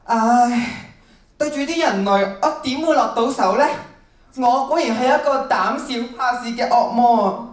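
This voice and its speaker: Teen girl as meek, earnest demon, plaintive and high-voiced, staged radio play, distant mic. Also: unhurried, natural voice. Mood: self-pitying. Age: teens. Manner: self-doubting, earnest, plaintive. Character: timid, gentle-hearted young demon who hates injustice. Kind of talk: stage radio drama acting. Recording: echoey hall, off-mic.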